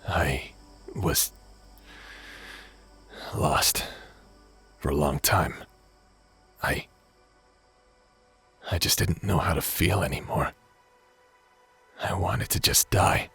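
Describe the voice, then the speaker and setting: A man performs a remorseful former space pirate in a sci-fi audio drama. The voice is very low and slow, halting between phrases and weighed down with grief. Music plays softly underneath. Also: slightly rough, exaggerated voice. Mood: haunted, remorseful. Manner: halting, heavy with pauses. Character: grief-stricken, guilt-ridden male ex space pirate brother. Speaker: voice actor in an audio roleplay.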